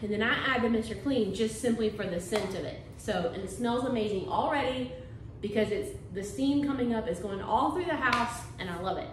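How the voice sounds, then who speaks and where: A woman's voice: on the high side, a little fast, reverberant, a little hiss or hum, poor audio. A woman, chatting casually to camera about cleaning in her living room. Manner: chatty, homey, fillers like "like" and "um". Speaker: cleaning vlogger. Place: carpeted-free living room of a house, indoors.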